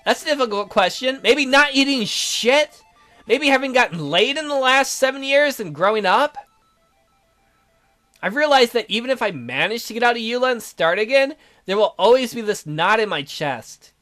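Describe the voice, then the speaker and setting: A man's voice: extremely high pitch, lively intonation, moderate pace, pushed and bright, soft background music, voice pushed up, heavily acted. A man voicing a bitter, sarcastic youth trapped in a child's body, reading visual novel dialogue with theatrical indignation. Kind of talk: visual novel character read-aloud.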